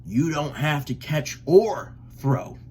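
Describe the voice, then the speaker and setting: An exasperated storybook papa duck, acted out by a man, loud and insistent. The pitch moves around a lot, over some background noise. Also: very animated, slow pace. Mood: firm, exasperated. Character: gruff, exasperated father duck.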